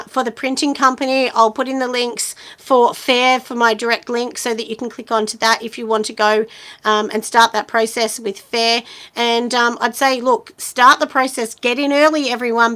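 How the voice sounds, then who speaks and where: A woman in her forties with a husky voice, hosting a casual live chat and giving friendly business advice, with lots of ums and you-knows. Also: fairly high pitch, pushed and bright. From Australia. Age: 40s. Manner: chatty and encouraging, many ums and you-knows.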